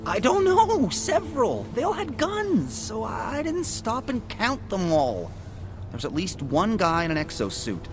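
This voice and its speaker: An actor playing a chatty, jittery, defensive forensic investigator in a video game, excitable and rambling with hesitations. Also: male voice, high-pitched, measured pace, music in the background, heavily acted. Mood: flustered. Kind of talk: video game cutscene acting.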